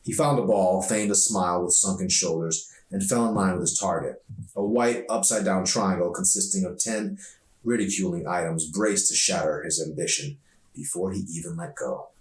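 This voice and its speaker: A man reading his own novel aloud as an author, in expressive audiobook narration. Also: low-pitched, moderate pace. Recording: echoey room, distant mic.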